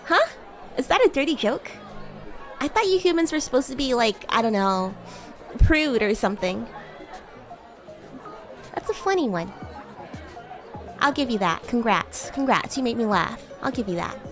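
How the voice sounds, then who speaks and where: Animated, high-pitched woman as bratty demon classmate. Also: moderate pace, pauses often, music in the background, a crowd in the background. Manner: teasing, giggly, addresses listener as human. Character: bratty, playful young female demon schoolgirl classmate. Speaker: VTuber streamer in character, chatting with viewers. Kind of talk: improvised in-character roleplay livestream chat.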